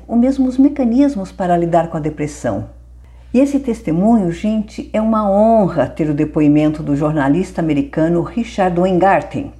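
A woman's voice: lowish voice, warm tone. A woman hosting a podcast, giving an enthusiastic scripted introduction to her guest. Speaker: podcast host.

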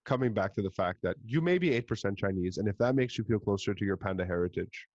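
A young man with a Montreal English accent, hosting a podcast in casual chat, an animated storyteller who swears and puts on voices. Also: fairly low pitch, fast talker, no room sound, muffled recording. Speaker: podcast host.

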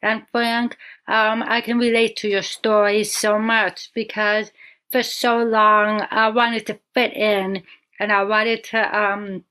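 A woman's voice: medium pitch, little pitch movement, takes it slowly, sharp tone. A woman with a deaf accent who joins the podcast as a guest. She talks in an earnest, explanatory way, and her sentences are broken up by frequent ums. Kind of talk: casual conversation.

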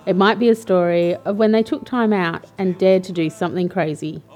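A woman reading a scripted podcast introduction in a calm, even voice. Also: lowish voice, brisk. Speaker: podcast announcer. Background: soft guitar music.

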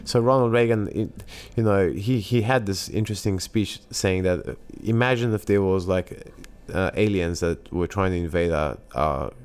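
A man's voice: sits low, normal speed, hardly any pauses, a little mellow, clean tone. A man from Afghanistan talking casually in conversation, often stopping and restarting his words.

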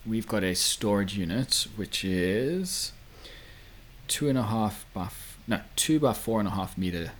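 A man's voice: lowish voice, animated, measured pace. A man in his thirties, born in South Africa, co-hosting a podcast in casual chat, rambling with many restarts. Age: 30s. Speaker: podcast co-host. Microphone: podcast microphone.

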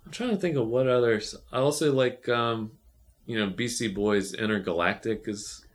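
A man's voice: medium pitch, moderate pace. A man co-hosting a podcast, chatting casually and rambling, with many fillers and restarts. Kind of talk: casual chat.

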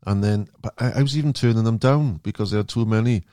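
Low-voiced podcast host. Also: male voice, fast talker, warm tone, no echo, studio quality. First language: Welsh. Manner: easygoing, conversational questions. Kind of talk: casual interview chat.

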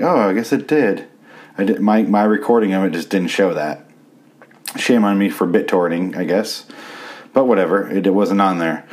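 A man hosting a casual solo review podcast, a little sick with a raspy voice, crude and sarcastic as he rambles. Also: sits low. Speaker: podcast host reviewing a TV series.

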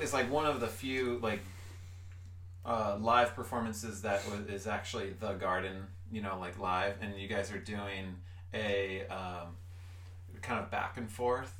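A man's voice: on the low side, a bit bright. A man interviewing musicians in a casual chat, rambling with lots of "like" and "um". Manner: rambling, full of "like" and "um". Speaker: podcast host interviewing musicians. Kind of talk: casual conversation. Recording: roomy, distant mic.

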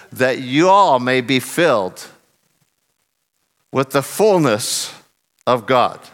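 A middle-aged man preaching a sermon in an earnest way, voice a bit husky and lowered after singing loudly, leaning hard on key phrases.

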